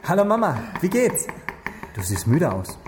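A woman's voice: fairly low pitch, very animated, normal speed, noisy background. A casual, probing female detective in a radio crime drama. She talks naturally and directly, with distinct kitchen sizzle and clatter behind her. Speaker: actor.

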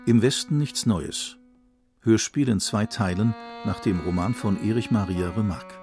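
A male announcer reads radio play titles in a low voice. The delivery is formal and scripted, over plucked guitar music. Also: normal speed, a little mellow.

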